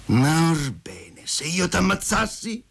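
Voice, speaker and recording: A man voicing a hot-tempered jilted gentleman in a story, exclaiming and repeating words in agitation. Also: fairly high pitch, animated, slow, husky, pitched above the performer's own voice, exaggerated voice. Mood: desperate.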